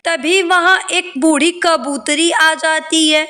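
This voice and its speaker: Lady narrating a kids story, really high voice, takes it slow. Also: steady tone, sharp tone, soft background music. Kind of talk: scripted children's story narration.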